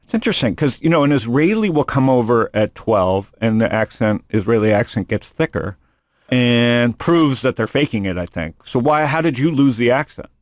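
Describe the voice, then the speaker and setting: A man's voice: medium pitch, animated, normal speed, no room sound, studio quality. A man hosting a podcast in casual chat, rambling and joking, often restarting his sentences. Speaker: podcast host. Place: studio room.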